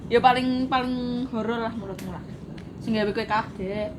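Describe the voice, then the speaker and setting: A woman asks questions in a casual chat, curious and teasing. Her pitch is a bit high. Steady background noise fills in behind. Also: moderate pace. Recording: noisy background.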